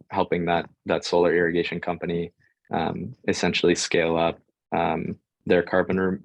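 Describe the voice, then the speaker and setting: A man's voice: low-pitched, gravelly, no echo. A young American man giving informal advice as a panel guest, with hesitant speech full of fillers. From United States. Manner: hesitant, filler-heavy delivery. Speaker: guest sharing job-search advice.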